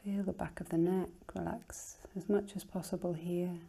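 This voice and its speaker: Woman, relaxation teacher, very mellow and low-key, creaky low fry, soft breathy phrase endings, soothing unhurried instruction. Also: level tone. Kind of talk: guided relaxation meditation.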